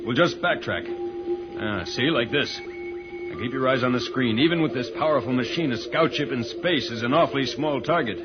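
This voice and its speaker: Casting: male actor as steady, earnest spaceship leader, mid-pitched, 1950s-style radio serial, muffled recording with music behind. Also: normal speed, close to an everyday voice. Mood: alert, decisive. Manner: decisive, explanatory. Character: earnest, level-headed adult male space hero and leader. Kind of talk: old-time radio serial acting.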